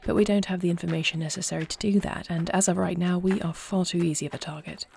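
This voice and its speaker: A woman playing an earnest young princess and war leader in an audio roleplay, speaking formally in solemn, measured phrases. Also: fairly low pitch, fairly even intonation, gravelly, a little mellow, slight background noise. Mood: composed, commanding. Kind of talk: scripted audio roleplay acting.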